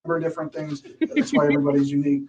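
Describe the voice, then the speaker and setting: A male police detective questioning a suspect in a measured, explanatory way during an interrogation. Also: fairly high pitch, level tone, a little mellow, muffled recording, slight background noise. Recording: echoey interview room, distant mic.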